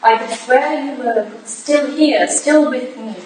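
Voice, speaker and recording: A woman's voice: sits high, slow, a crowd in the background. Echoey, distant, hurt Victorian wife played by a woman. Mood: wounded, accusing. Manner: pleading, earnest. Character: hurt, suspicious Victorian wife confronting her husband. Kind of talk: staged reading of a period drama. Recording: distant, very echoey room, faint noise.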